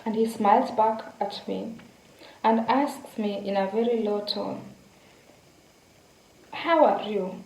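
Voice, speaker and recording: A woman's voice: medium pitch, steady tone, takes it slowly, pauses often, darkish tone. Chatty Kenyan-born woman in echoey room. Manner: chatty, repeats words, addresses viewers directly. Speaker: vlogger. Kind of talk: casual storytelling to viewers.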